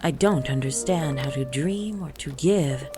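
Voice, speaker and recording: A woman's voice: low-pitched, unhurried, music in the background. A woman voicing a sad, humble, bewildered orphan boy in a rhymed storybook poem.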